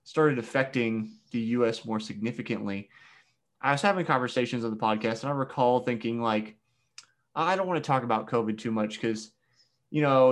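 A man hosting a podcast, asking relaxed, reflective questions with plenty of fillers. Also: medium pitch. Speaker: podcast host. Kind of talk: podcast interview.

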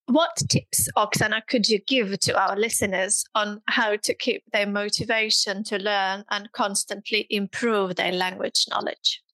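A woman whose first language is Russian hosts a podcast about languages as a teacher. She speaks at an unhurried pace with clear, deliberate phrasing and friendly questions, and the recording is dry and close. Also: medium pitch, pushed and bright, dry recording, rough recording. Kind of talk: podcast hosting and interview.

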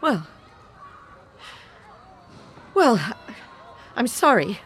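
A woman's voice: on the high side, big pitch swings, very slow, fairly bright tone, exaggerated voice. A middle-aged woman acting in an audio drama as an anxious, wounded mother of a teenage son, hesitant in her speech. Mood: hurt, placating.

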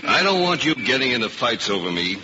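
A man acts out a kindly, amused ballplayer from an old radio drama. His medium-pitched voice moves in a lively, fatherly way, over a dull recording with clear noise. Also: fairly quick, natural voice. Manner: easygoing, gently admonishing. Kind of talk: 1950s radio drama acting.

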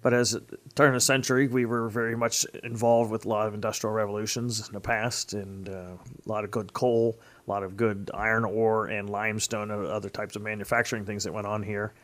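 A man's voice: fairly low pitch, little pitch movement, brisk, a bit bright. An American man in his fifties speaking conversationally as an interviewed state legislator, recounting personal and local stories. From Pennsylvania, United States.

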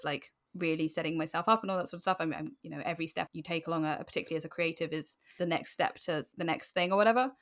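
Lower-pitched young British woman, chatty. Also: fairly bright tone. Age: late 20s. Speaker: podcast guest, writer. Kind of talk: candid conversational interview.

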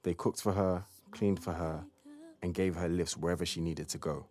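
A man's voice: deep voice, fairly even intonation, normal speed, a little mellow. A man narrating an audio drama in a scripted storytelling style.

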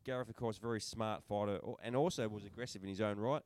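A man's voice: fairly low pitch, quick pace. A middle-aged man co-hosting a podcast, recapping fights in casual, enthusiastic chat. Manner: enthusiastic recaps, many restarts and fillers. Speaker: podcast co-host.

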